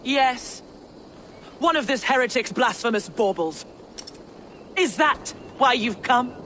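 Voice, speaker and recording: A woman's voice: a little high, lively intonation, slow, fairly bright tone, a little hiss or hum. A woman playing a haughty, vengeful noblewoman in a video game, with a scornful, imperious manner. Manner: imperious, disdainful. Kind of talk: dramatic video game acting. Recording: dramatic music behind the voice.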